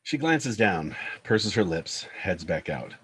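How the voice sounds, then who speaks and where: A man's voice: sits low, sing-song, takes it slowly, fairly bright tone, slightly rough, poor audio. A man reading screenplay stage directions as scripted narration.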